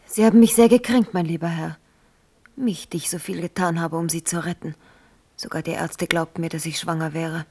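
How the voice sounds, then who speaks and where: An actress playing a proud young princess and queen in a radio play, with a breathy, whispery voice and an intense, formal manner. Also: on the low side, steady tone, brisk, darkish tone. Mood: hurt, accusing. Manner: intimate, formal, emotionally intense. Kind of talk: 1970s German radio play acting.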